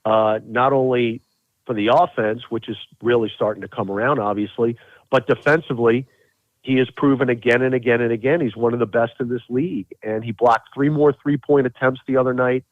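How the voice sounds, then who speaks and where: A man's voice: mid-range voice, steady tone, a little fast, no echo. Male sports announcer as podcast guest: emphatic, conversational analysis, mid-range voice down a narrow phone line.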